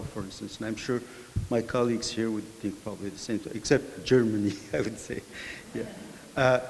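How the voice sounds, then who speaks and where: A middle-aged man from Morocco answering as a panelist in a thoughtful, measured way. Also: lowish voice. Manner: thoughtful, measured, with filler pauses. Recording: hall reverb, faint hiss.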